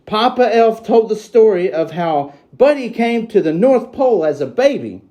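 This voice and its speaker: A man playing an excitable, childlike Christmas elf who reads a storybook to children with slow, theatrical delivery. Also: high-pitched, lo-fi sound. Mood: cheerful, earnest.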